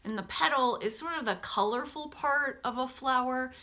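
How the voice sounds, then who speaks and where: A woman teacher reading a picture book aloud to children, expressively, with casual, hushed explanatory asides. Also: high voice, moderate pace. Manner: animated storybook delivery, dropping to hushed asides.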